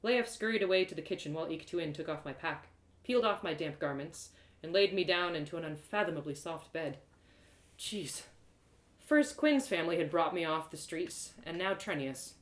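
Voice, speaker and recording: A woman reading a first-person fantasy story aloud in a dramatic, expressive way. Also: low voice, moderate pace. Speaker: storyteller, author reading live. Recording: roomy echo.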